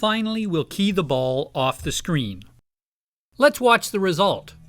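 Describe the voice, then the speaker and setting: A man presenting a software tutorial in a scripted narration style. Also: high-pitched, very animated, unhurried, dry recording, studio quality.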